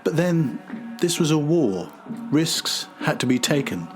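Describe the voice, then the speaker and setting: A man's voice: a little high, big pitch swings, measured pace, over music. A man reading scripted narration as the host of a history documentary podcast.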